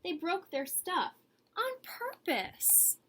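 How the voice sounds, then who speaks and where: A woman voicing an earnest, eager good egg telling its own story from a picture book, with expressive pauses and emphasis. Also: extremely high pitch, pitched above the performer's own voice, full character voice. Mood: exasperated, weary. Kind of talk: picture-book read-aloud.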